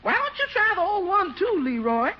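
Folksy, high-pitched radio housekeeper. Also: female voice, big pitch swings, normal speed. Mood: chipper, encouraging. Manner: folksy, colloquial. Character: folksy, chipper housekeeper woman, encouraging. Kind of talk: 1940s radio comedy acting. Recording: muffled, noisy old radio broadcast.

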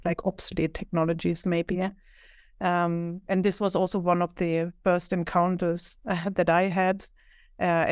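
A woman academic talks as an interview guest, reflective and explanatory. Her voice is clear and fairly low, with little rise and fall, recorded close and dry. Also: darkish tone, no echo. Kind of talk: conversational interview answers.

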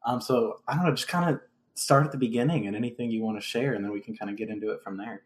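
A man's voice: medium pitch, quick pace. A man hosting a podcast in an informal, chatty, conversational way. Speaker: podcast host.

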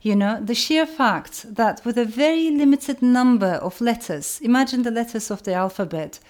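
A middle-aged woman novelist, Turkish as her first language, speaking in a measured, earnest way, repeating phrases for emphasis. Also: a little high, lively intonation, a little mellow. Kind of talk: reflective talk to camera.

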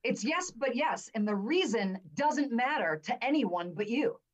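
A woman acting teacher explaining exercises in a calm, assured way over a video call. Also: fairly high pitch, unhurried, distant mic.

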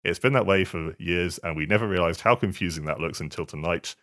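Male podcast co-host. Very low, forceful voice, halting casual conversational delivery. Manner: halting, hesitant delivery with stammered repetitions. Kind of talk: casual chat.

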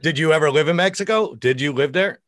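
A middle-aged American man with a deep voice, chatting casually and bluntly on a podcast as an opinionated, foul-mouthed guest. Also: fast, a bit bright, dry recording, clean studio sound. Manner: opinionated, blunt, crude joking. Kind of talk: casual, profane podcast chat.

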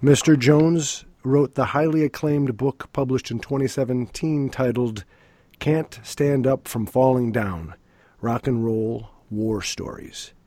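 A man hosting a music interview podcast, sounding stuffy from a cold and reading his guest's background in a measured, formal way. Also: medium pitch, dark tone, dry recording. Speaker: podcast host.

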